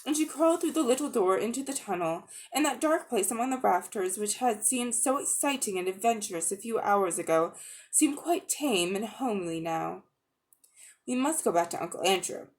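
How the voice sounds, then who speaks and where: A woman narrating a children's novel as a dramatic, expressive audiobook reading. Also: on the high side, normal speed. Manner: expressive, storytelling delivery. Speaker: audiobook reader.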